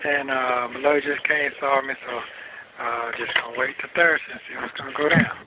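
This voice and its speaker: A man's voice: mid-range voice, steady tone, fairly quick. A man chatting casually on a personal jail phone call, often repeating short phrases. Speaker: jail inmate calling his wife. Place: jail telephone. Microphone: telephone handset. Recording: very noisy tinny jail phone line.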